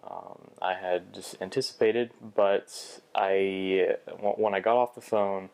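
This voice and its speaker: Low, flat-voiced young man reflecting. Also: slow, smooth, poor audio. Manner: hesitant, many restarts and fillers. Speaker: vlogger. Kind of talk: reflective personal vlog.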